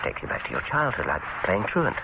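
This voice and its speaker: An actor playing an unsettling dentist in a suspense radio drama, with an English accent and an unhurried, falsely soothing manner. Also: male voice, lowish voice, very animated, lo-fi sound. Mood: insinuating. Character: unsettling, probing male dentist with smooth menace. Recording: noisy, band-limited old radio recording.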